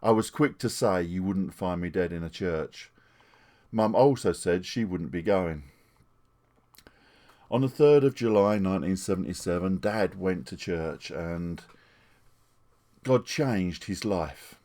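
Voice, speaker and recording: A man's voice: sits low, measured pace, long pauses, a little mellow. A retired pastor in his sixties from England, telling his life story to camera in an earnest, plainspoken way. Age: 60s. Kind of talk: personal testimony to camera. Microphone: desktop microphone.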